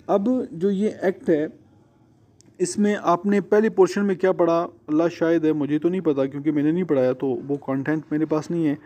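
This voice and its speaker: A man with a Pakistani accent lecturing students as a law teacher, explaining deliberately and repeating and rephrasing his points. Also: fairly high pitch, warm tone, slight background noise. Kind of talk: exam-preparation lecture to students, mixing Urdu and English.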